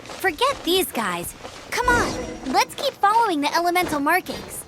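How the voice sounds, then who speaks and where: A woman's voice: extremely high pitch, sing-song, moderate pace, very noisy. A young woman voicing an eager, upbeat female scout who speaks with energy and decisiveness. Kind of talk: video game voice acting. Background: game music.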